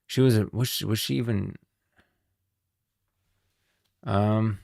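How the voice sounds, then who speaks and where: A man's voice: fairly low pitch, steady tone, takes it slowly, creaky, a little mellow, no room sound, clean studio sound. A man hosting a livestream, giving casual game lore commentary and rambling as he thinks aloud. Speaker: livestream host.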